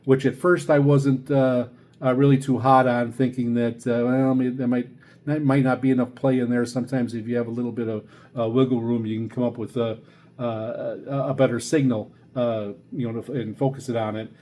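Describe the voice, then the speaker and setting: Older man with a mellow, halting delivery. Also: medium pitch, flat delivery. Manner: halting and rambling, stumbles and repeats words. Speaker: radio reviewer presenting a video series. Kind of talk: unscripted casual product review.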